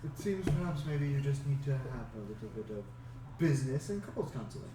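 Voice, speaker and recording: A young man as game master, narrating in a measured, polite way, on a distant mic in an echoey room with background noise. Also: medium pitch, very animated. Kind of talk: narrating and voicing characters in a tabletop game.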